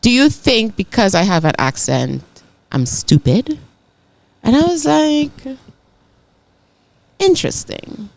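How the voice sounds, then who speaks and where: A woman's voice: a little high, slow pace, vocal fry, long pauses, no echo. A young adult woman with an accent, a podcast co-host telling stories in casual chat. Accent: non-native English accent. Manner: animated, emphatic storyteller.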